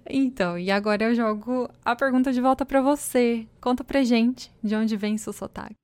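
A woman telling her story in a casual conversation, speaking softly and often trailing off with little tag questions. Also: a little high, normal speed, clear, resonant tone, no room sound.

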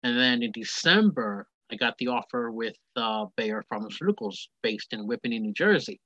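A man in his forties rambles cheerfully through his story over the phone. His voice is bright and forceful. The line is dry and a bit muffled. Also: medium pitch, animated, moderate pace, no echo. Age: 40s. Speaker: guest. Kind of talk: casual phone interview.

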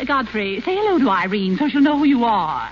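Fussy, flighty rich mother; high, sing-song, fluttering, old muffled broadcast sound. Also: big pitch swings, brisk. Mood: fluttery. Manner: twittering, gushing, scatterbrained. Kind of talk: 1930s radio acting. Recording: muffled hissy 1930s radio broadcast.

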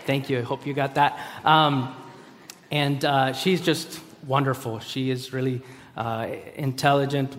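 A man in his thirties, from Costa Rica, preaching a sermon after a chatty personal introduction, with frequent fillers and restarts. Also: mid-range voice. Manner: halting, many fillers and restarts. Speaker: guest preacher. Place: large hall. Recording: hall reverb.

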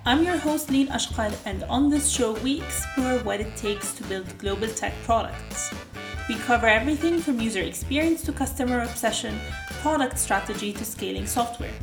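A woman's voice: fairly high pitch, moderate pace, reverberant, over music. A woman hosting an interview podcast, reading a polished introduction and then asking questions conversationally. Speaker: podcast host.

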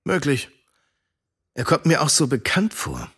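A man's voice: fairly high pitch, wildly swooping pitch, measured pace, a bit bright, slightly rough, heavily acted. A man playing a guarded uncle who mentors children, in a children's audio drama. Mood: thoughtful, troubled.

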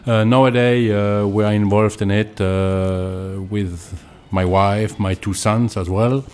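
A man with a French accent, a winemaker being interviewed, explaining things in hesitant, deliberate non-native English. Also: lowish voice, fairly even intonation, a little mellow, smooth. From Alsace, France.

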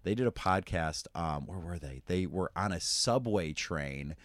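Male podcast host, lowish voice, scratchy and worn, relaxed chatty delivery, dry close sound. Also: measured pace, no echo. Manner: relaxed, laughs easily, many fillers. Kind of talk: casual chat. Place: camper trailer.

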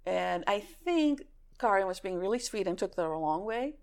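A woman's voice: mid-range voice, moderate pace, crowd noise. A middle-aged woman raised in Greece, chatting as a guest and telling stories with frequent laughter. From raised in Greece, born in California. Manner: animated storyteller who laughs often. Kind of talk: casual chat, storytelling.

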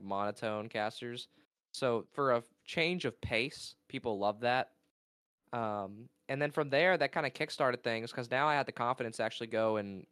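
A young man with a naturally loud, resonant voice tells his story in a relaxed interview. He rambles, gets excited and loses his place. The sound is dry and close. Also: mid-range voice, smooth, no echo. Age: young adult. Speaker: esports caster and college player. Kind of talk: casual interview talk.

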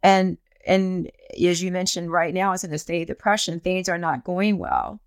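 A woman's voice: fairly low pitch, big pitch swings, normal speed, clean tone, no echo, clean studio sound. A woman hosting an interview podcast in a conversational style.

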